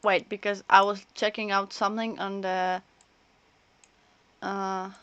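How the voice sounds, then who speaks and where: A woman's voice: mid-range voice, level tone, unhurried, a bit bright, clean tone, highs cut off. A woman doing casual live gameplay commentary, chatting and thinking aloud as she streams. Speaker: game streamer.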